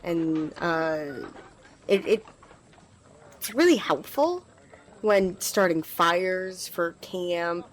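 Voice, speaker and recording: A woman's voice: lowish voice, expressive, drawn-out words, pauses often, slight background noise. A woman playing an eager, apologetic young squire in an ASMR fantasy roleplay, rambling and stammering as she speaks to the listener. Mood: hesitant, explaining. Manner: rambling, hesitant, stammering, giggly. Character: eager, flustered young female magic-wielding squire, apologetic.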